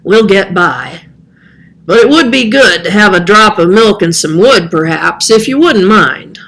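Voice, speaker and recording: A woman voicing a gentle, resigned old man in a children's storybook, speaking patiently with some mumbling. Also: medium pitch, animated, unhurried. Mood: mumbling, soothing.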